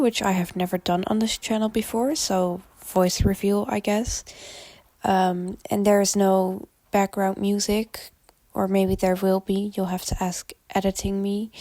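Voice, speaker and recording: A woman's voice: mid-range voice, creaky, dark tone, no room sound. A teenage girl with a Dutch accent, whose first language is Dutch, rambling casually with frequent ums in a voice-over recorded on a handheld phone. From Netherlands. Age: teens. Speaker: gaming channel creator. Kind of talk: casual voice-over chat over a speed build. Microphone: phone held in hand.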